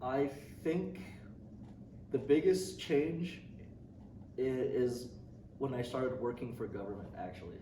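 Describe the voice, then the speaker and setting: A man's voice: mid-range voice, slow pace, long pauses, warm tone. A young man from Indonesia answering interview questions casually, with many ums, restarts and light laughs. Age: young adult. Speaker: student interviewee. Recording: roomy, distant mic, noisy.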